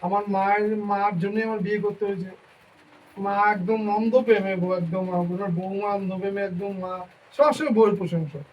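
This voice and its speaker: A man acting as an evasive young husband. He pleads and justifies himself in a high, hurried voice, in amateur drama style, slightly muffled in an echoey room. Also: close to an everyday voice. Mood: pleading, coaxing. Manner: pleading, repetitive, self-justifying. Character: evasive young husband, devoted to his lover, dismissive of his wife. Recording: echoey room, distant mic, muffled, faint noise.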